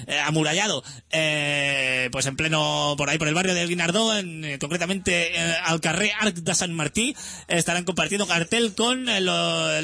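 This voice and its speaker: A young man the chats on a music radio show, filling gaps with "eh" and restarting sentences. His voice sits a little high and sounds bright and forceful. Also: no room sound. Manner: hesitant, full of "eh" fillers and restarts. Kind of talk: casual rambling radio chat.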